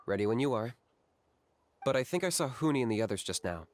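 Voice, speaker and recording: A man voicing a calm, practical young warrior in a video game, giving instructions in a cool, level way. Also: mid-range voice, fast talker, no room sound, natural voice.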